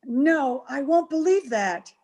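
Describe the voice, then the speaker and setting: Woman acting very high-pitched, frightened accomplice. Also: lively intonation, takes it slowly, a little mellow, full character voice. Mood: defensive denial. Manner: melodramatic, urgent, pleading. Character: frightened, self-excusing woman accomplice, desperate to escape. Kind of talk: old-time radio horror acting.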